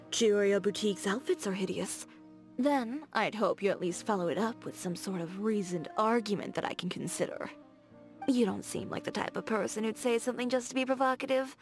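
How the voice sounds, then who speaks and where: A woman's voice: mid-range voice, lightly acted. A woman voicing a confident, blunt young fashion designer in a video game, direct and playfully teasing. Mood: frank, firm. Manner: direct, self-assured, teasing. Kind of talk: scripted video game character dialogue. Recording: background music.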